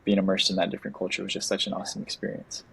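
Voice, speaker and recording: A man's voice: sits low, completely flat delivery, racing, gravelly, fairly bright tone, husky, rough recording. A man hosting a podcast interview, earnest and enthusiastic, often restarting his words. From United States. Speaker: podcast host. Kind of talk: casual interview chat.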